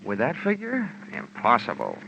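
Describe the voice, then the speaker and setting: An American actor playing a wisecracking, hard-boiled investigator with a wry, tough manner, in old radio detective style. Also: male voice, medium pitch, huge pitch swings, moderate pace, vocal fry, heavy background noise. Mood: sardonic. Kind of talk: 1950s radio detective narration and acting. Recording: muffled old radio recording with music.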